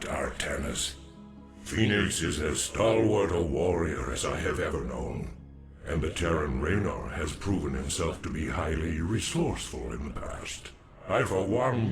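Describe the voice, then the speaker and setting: Game role: solemn old alien sage, rock-bottom register, heavy echo, measured formal delivery. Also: male voice, a bass voice, full character voice. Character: ancient alien dark warrior mystic, male, solemn and wise. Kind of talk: video game voice acting. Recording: echoing voice over game music.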